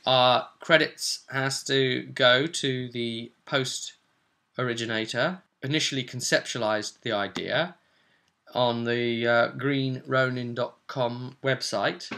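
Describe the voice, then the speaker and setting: A man's voice: medium pitch, fairly even intonation, slow, creaky, sharp tone. A man hosting as game master, casually recapping a tabletop role-play session in a rambling, descriptive way.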